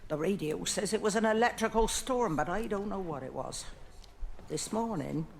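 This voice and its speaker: An actress playing an elderly, sharp-tongued, mournful English village widow in a naturalistic video game drama. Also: female voice, mid-range voice, expressive, moderate pace, creaky, slight background noise. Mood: weary, puzzled. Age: older.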